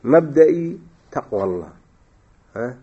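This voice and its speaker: A husky-voiced man teaching a grammar class, his pitch rising and falling a lot as he explains. He keeps checking on his students with quick questions and repeats key phrases slowly. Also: very animated, highs cut off.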